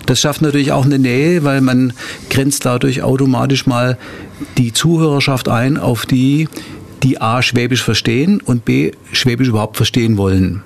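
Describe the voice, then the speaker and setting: A man's voice: medium pitch, steady tone, moderate pace, vocal fry. A man talking reflectively as a musician guest, often adding little questioning tags. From Swabia, southern Germany. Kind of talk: reflective interview talk.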